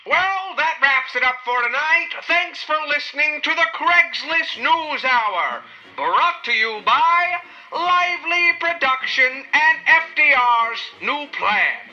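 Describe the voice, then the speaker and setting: Performer doing a vintage radio anchor, high voice, slow theatrical delivery, excitable, dull lo-fi sound. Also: faint music behind, heavily acted. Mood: upbeat. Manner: theatrical, drawn-out announcer delivery. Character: excitable old-time radio news anchor. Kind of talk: 1940s radio newsroom parody, scripted announcing.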